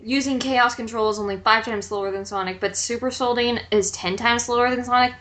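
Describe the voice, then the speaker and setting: Incredulous woman with a highish voice. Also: steady tone, brisk, a bit bright. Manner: incredulous, questioning. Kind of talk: casual group commentary.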